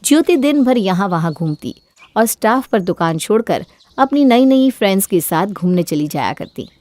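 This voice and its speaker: A woman's voice: medium pitch, big pitch swings, normal speed, darkish tone, no room sound. A woman narrating a scripted moral story as a storyteller.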